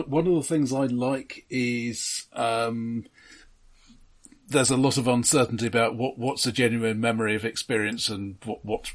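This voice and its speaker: A man's voice: mid-range voice, slightly rough. A British man co-hosting a casual, analytical film discussion in a dry, matter-of-fact manner. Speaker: film podcast co-host.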